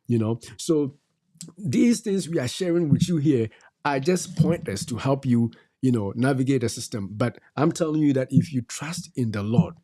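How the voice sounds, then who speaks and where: A man's voice: mid-range voice, lively intonation, normal speed, dark tone, husky. A middle-aged man gives faith-based advice on a talk show. He exhorts his listeners and repeats his key phrases like a preacher. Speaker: co-host.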